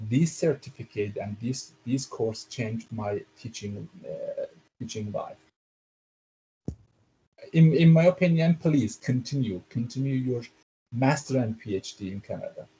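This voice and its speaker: A man's voice: mid-range voice, creaky, warm tone, slight background noise, rough recording. A middle-aged man, a former professor now in graduate studies, speaking on a video call. He talks hesitantly, with many fillers and repeated "do you know". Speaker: former university professor, education graduate student. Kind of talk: casual academic discussion.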